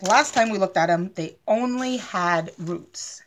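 A woman's voice: medium pitch, a little slow, a little hiss or hum. A middle-aged woman giving young children a simple, step-by-step hands-on lesson as a preschool teacher. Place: carpeted living room at home.

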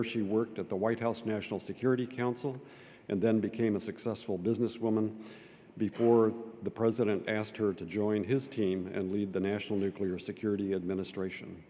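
An older man giving a formal, measured introduction at a lectern, reading from notes and explaining things simply for young listeners. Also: lowish voice, dark tone. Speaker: event speaker introducing a guest. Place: large auditorium stage at a lectern. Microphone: lectern microphone. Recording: long auditorium reverb.

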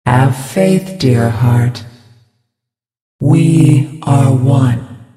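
An actor playing a serene, solemn spirit-healer of a mystic order in a game, speaking in calm, measured, sermon-like phrases. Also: male voice, mid-range voice, faint music behind. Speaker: game character voice. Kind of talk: game voice lines. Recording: echoey with long reverb, distant.

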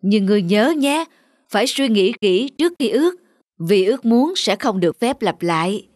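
A woman voicing a solemn old male god in a cartoon fairy tale, speaking in a measured, admonishing way. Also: mid-range voice, expressive, a little mellow, natural voice. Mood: solemn, grateful. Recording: music behind the voice.

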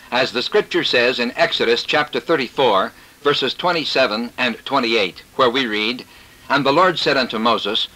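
A male preacher with a bright, forceful voice at an average pitch delivers a scripted scriptural sermon. His phrasing is formal and measured, each statement set out declaratively. It sounds like an old cassette tape recording. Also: low-quality recording.

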